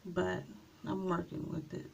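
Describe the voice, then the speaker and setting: Slow, gravelly-voiced woman vlogger. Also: on the low side, completely flat delivery, slight background noise. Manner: unhurried, chatty, trailing off with small pauses. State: slightly raspy from a coming cold. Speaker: beauty vlogger. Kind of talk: casual makeup tutorial chat.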